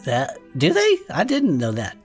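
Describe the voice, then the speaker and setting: A woman's voice: deep voice, huge pitch swings, a little slow, a little mellow, soft background music, exaggerated voice. A woman playing a wry, skeptical sidekick, reacting to tall tales in comic podcast banter. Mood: amused. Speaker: podcast co-host.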